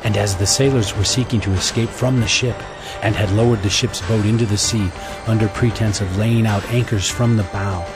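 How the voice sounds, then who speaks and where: A man narrating a scripture audio drama in a measured, solemn storytelling manner, reading from a script. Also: on the low side, fairly even intonation, rough recording. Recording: music bed under the voice.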